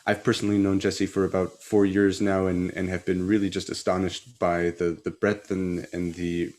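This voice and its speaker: A low-voiced man hosts a webinar interview over a video call. He is polite and friendly, and he speaks in an easy, even way. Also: moderate pace. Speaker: interviewer, bassoonist.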